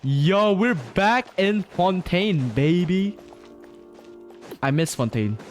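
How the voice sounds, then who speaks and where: A man's voice: high voice, animated, slow pace. A man commentating casually on a live game stream in a laid-back, slangy way. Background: game music.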